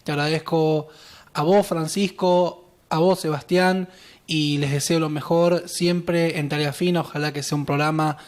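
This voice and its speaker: A man in his twenties with a Buenos Aires accent, a talk show guest telling his story in an earnest, measured way with frequent fillers. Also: a little high, fairly even intonation. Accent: Buenos Aires Spanish. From Argentina. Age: 20s. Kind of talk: personal account on a talk show.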